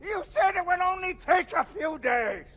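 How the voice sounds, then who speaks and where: Anxious captive in a game, really high voice, bright, pleading. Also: male voice, animated, normal speed. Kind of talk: video game cutscene acting.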